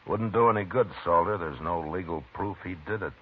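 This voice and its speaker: An actor playing a calm, firm frontier lawman in a 1950s radio drama, measured and deliberate. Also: low-pitched, breathy edge. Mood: steady. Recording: tinny old radio broadcast with hiss.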